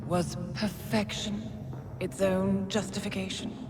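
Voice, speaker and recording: Slow, poised, cold spy handler played by a woman. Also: medium pitch, flat delivery, heavy background noise, natural voice. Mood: cold, taunting. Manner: measured, deliberate, articulate. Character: composed, coldly poised female spy handler, quietly menacing. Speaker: voice actor. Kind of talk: cinematic game cutscene acting. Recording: loud dramatic music behind.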